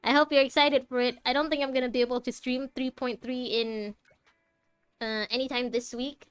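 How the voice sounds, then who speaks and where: A woman's voice: high-pitched, fairly even intonation, moderate pace, clean tone, no echo. A young woman from Malaysia chatting casually as she plays through a game, rambling and thinking aloud. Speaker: game streamer. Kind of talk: casual commentary while playing a game.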